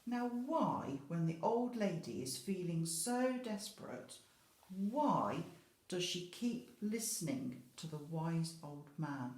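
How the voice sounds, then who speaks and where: A woman's voice: lowish voice, sing-song, takes it slowly, darkish tone, echoey room. A woman speaking as an infant school teacher, explaining simply and expressively to young children. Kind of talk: children's story reading and questions.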